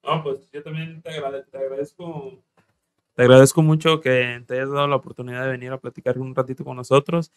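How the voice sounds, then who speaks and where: A man's voice: mid-range voice, little pitch movement, a little fast, no room sound. A man from Oaxaca with a Mexican Spanish accent, co-hosting a casual interview in a friendly, chatty way. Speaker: co-host.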